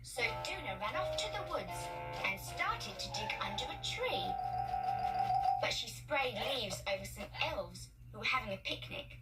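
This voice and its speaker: A woman narrating children's stories as a read-along, expressive, emphatic and playful. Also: a little high, measured pace. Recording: distant-sounding, loud music behind. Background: music.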